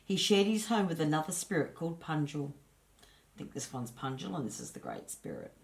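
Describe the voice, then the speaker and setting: Low-voiced woman reading a children's story. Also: wildly swooping pitch, fairly quick. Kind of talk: scripted children's story narration.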